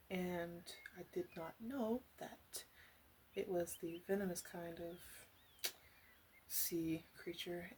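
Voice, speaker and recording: A flirty, doting witch, played by a woman speaks softly in an ASMR roleplay, often slipping toward a whisper. Her voice sits a little low, and her delivery is intimate, gentle and slightly hesitant. Light ambient noise sits behind her. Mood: chatty, wry. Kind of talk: ASMR roleplay, soft-spoken with whispered stretches.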